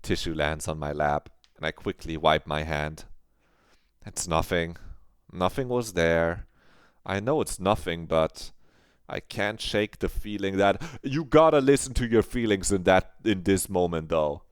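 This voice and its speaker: A man streaming a visual novel playthrough, reading the narration aloud and reacting with animated commentary. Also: sits low, measured pace, clean tone, no room sound, studio quality.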